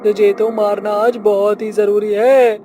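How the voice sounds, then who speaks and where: A woman's voice: medium pitch, little pitch movement, measured pace, noisy background, lightly acted. A woman voicing a power-hungry, gloating witch in a melodramatic horror cartoon, with a taunting, theatrical delivery. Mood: taunting menace.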